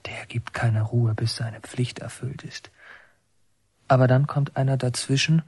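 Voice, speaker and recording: A man's voice: medium pitch, fairly even intonation, measured pace, darkish tone, husky, no room sound, studio quality. A man acting as a watchful son who narrates his childhood in a literary radio drama. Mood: observant, restrained. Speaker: first-person narrator.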